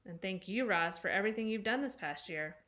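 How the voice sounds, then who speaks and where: A warm, slightly gruff storybook father, read by a woman. His lines come in a middle-pitched, everyday reading voice. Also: animated, fairly quick, close to an everyday voice. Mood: kindly.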